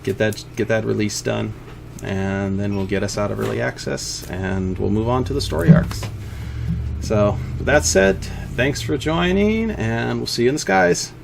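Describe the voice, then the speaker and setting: A man giving an informal, unscripted progress update as an indie game developer, speaking in a halting, rambling way. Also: on the low side, creaky, music in the background.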